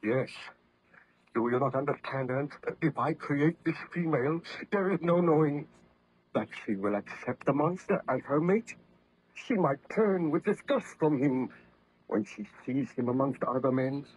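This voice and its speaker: An actor playing an anguished, horrified young scientist baron in impassioned, theatrical 1930s radio drama style. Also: male voice, on the high side, animated, unhurried, a little mellow, slightly rough, dry recording. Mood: haunted, resolute. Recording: dull lo-fi old radio recording.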